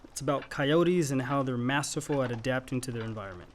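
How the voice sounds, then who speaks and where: An actor playing a dry, self-contained young adult brother in a radio play, with a wry, laconic American delivery. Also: male voice, medium pitch, brisk, slight background noise, natural voice. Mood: wry, relaxed. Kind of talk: naturalistic radio play acting.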